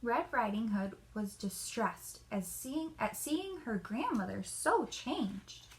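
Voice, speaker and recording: A woman reading a children's story aloud in an expressive, deliberate storyteller's manner. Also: fairly high pitch, low-quality recording.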